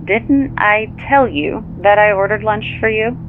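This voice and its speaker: Efficient dry assistant on a thin phone line, lots of hum, audio drama. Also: female voice, mid-range voice, a little slow. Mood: matter-of-fact. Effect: phone filter. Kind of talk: naturalistic audio drama acting. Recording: tinny phone line, loud car hum.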